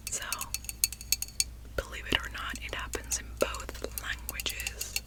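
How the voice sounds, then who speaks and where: Slow-whispering woman. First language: Spanish. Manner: soft rambling whisper, self-deprecating asides. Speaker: ASMR creator. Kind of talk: whispered ASMR ramble.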